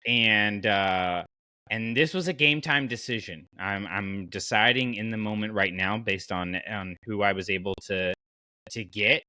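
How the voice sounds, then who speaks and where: A man hosting a casual fan podcast, talking in an animated, colloquial way and explaining his reasoning at length. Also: fairly low pitch, unhurried, dry recording. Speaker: podcast host.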